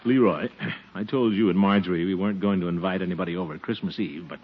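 A man playing a pompous, blustering but soft-hearted middle-aged uncle in a 1940s radio comedy, with a chuckling, swooping delivery. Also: low-pitched, fast, natural voice. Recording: muffled, noisy 1940s radio recording.

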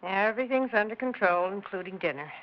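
An actress playing a sensible, polite but uneasy suburban wife in a 1960s radio drama, measured and firm. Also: female voice, mid-range voice, expressive, slight background noise, natural voice. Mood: composed. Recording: dull lo-fi 1960s radio recording.

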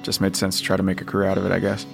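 An actor playing a weary, protective middle-aged father in a post-apocalyptic audio drama, speaking in a hesitant, low-key way. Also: completely flat delivery, racing, creaky. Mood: subdued, reflective. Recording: music under the voice.

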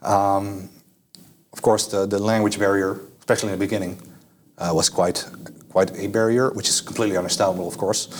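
Hesitant Dutch man, lowish voice. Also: flat delivery, fairly quick, slight background noise. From Netherlands. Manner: hesitant, many fillers and restarts. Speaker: guest. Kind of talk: casual interview answers.

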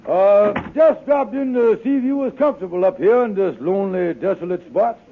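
An actor playing a scheming, blustering landlord with folksy, ungrammatical speech in an old radio comedy. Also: male voice, high voice, lively intonation, normal speed. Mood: sly, smooth. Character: scheming, wheedling male con-man landlord in a radio comedy. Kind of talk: 1950s radio comedy acting. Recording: tinny, noisy old radio, studio audience.

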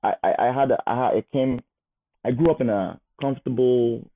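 A man in his thirties, a guest telling his life story in a casual, animated way. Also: medium pitch, fairly quick. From Nigeria. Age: 30s. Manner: animated, repeats words as he goes. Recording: phone-like narrow sound.

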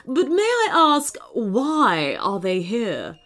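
A woman voicing a stern, regal queen bee in a children's story, imperious with drawn-out words. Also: on the high side, big pitch swings, a bit bright, faint music behind, exaggerated voice. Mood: regal, curious. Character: stern, regal female queen bee, scolding but softening.

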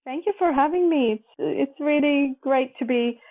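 A woman's voice: high-pitched, normal speed. Woman from Latvia on a tinny line. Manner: chatty, thinks aloud with small restarts. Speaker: guest. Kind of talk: casual interview. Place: home in lockdown. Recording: tinny phone-like call line.

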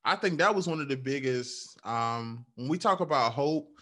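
A man's voice: medium pitch, animated, moderate pace, bright, forceful tone. Reflective male podcast co-host. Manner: reflective, many "um"s and "right?" check-ins. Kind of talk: casual podcast chat.

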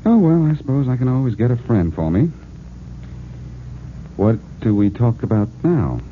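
An actor playing a cocky, breezy, sardonic amateur detective in an old-time radio crime drama. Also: male voice, mid-range voice, sing-song, normal speed, natural voice. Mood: cool, teasing. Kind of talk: 1940s radio acting. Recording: tinny, hissy old radio recording.

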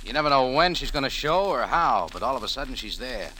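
An American actor playing a tough, world-weary cafe owner in 1940s radio style, with clipped, slangy wisecracks and guarded narration. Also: male voice, mid-range voice, big pitch swings, fast, bright, forceful tone, a little hiss or hum, rough recording. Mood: wry, brooding. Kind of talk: 1940s radio acting with first-person narration. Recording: old 1940s radio recording.

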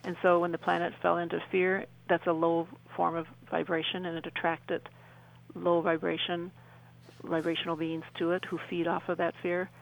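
Creaky-voiced middle-aged woman, even intonation. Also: lowish voice, normal speed, poor audio. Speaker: interview guest. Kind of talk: earnest explanatory radio interview.

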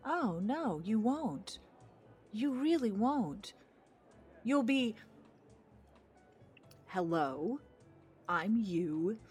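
A woman's voice: fairly high pitch, very animated, drawn-out words, pauses often, darkish tone, husky, faint music behind, exaggerated voice. An actress playing an earnest, nervous young woman on a blind date in an audio drama. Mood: reassuring.

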